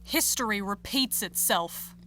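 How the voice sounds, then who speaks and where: An actress playing an ominous, fervent chorus figure in a tragedy, with deliberate, incantatory theatrical delivery. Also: female voice, medium pitch, lively intonation, bright, forceful tone. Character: female tragic chorus voice, ominous, accusing, fervent.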